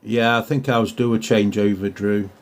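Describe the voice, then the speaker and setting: A man's voice: lowish voice, fairly even intonation, normal speed. A man from England with an English accent, chatting casually and a little hesitantly in CB radio jargon. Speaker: amateur CB radio operator. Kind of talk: casual radio contact chat. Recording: faint radio hiss and static.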